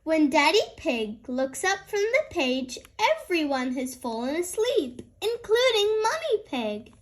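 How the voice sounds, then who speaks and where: A woman hosts a read-aloud for young children. Her voice is very high and animated, and she tells the story slowly and expressively. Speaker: storyteller, host.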